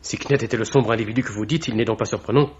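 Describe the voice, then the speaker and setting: Actor as a level-headed young investigator, dull recording. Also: male voice, on the low side, fairly even intonation, lightly acted. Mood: probing. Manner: measured, insistent questioning. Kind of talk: French 1950s-style radio mystery acting. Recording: dull vintage radio-drama recording.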